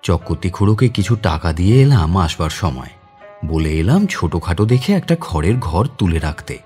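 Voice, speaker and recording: A man's voice: sits low, sing-song, moderate pace, a little mellow, faint music behind. An actor playing a kind, reflective man visiting his home village, narrating the dramatised story himself. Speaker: narrator and lead character.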